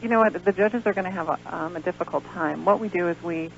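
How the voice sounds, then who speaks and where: A woman's voice: lowish voice, fast talker, creaky. An American woman explaining things as a guest in a radio interview, in a hesitant, explanatory way. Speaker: guest, pageant organizer. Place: radio show. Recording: dull lo-fi radio recording with hum, noise.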